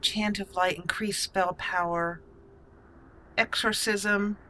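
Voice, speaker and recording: A woman's voice: mid-range voice, steady tone, slow pace, bright, forceful tone, slight background noise, rough recording. A woman hosting a roleplay let's play of a fantasy game, thinking aloud as she muses over her choices. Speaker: gaming channel host and player.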